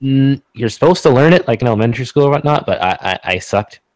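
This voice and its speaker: A man's voice: medium pitch, lively intonation, fast, a little mellow. A Canadian man chatting casually on a group video call, laid-back, laughing often and trailing off. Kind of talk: casual online group chat.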